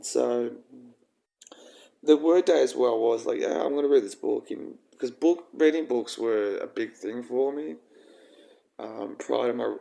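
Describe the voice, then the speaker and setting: A young man with a croaky voice, rambling and halting through a casual personal vlog. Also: mid-range voice, mellow. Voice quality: croaky, gravelly. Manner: rambling, halting, with frequent ums and swearing. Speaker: vlogger.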